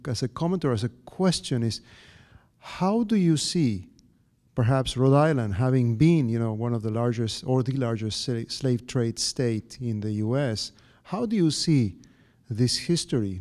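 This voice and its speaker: A man with an Argentine Spanish accent asking a long, halting, academic question from the floor in a large hall. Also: mid-range voice, dark tone. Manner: halting, many fillers and restarts, academic. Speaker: audience member.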